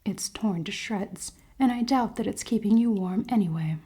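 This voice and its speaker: A woman playing a cold-hearted, commanding elven queen in a fantasy ASMR roleplay, soft and often whispering, with formal, teasing speech. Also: mid-range voice, moderate pace, husky, lightly acted. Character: cold-hearted, dominant elven queen, haughty, secretly caring.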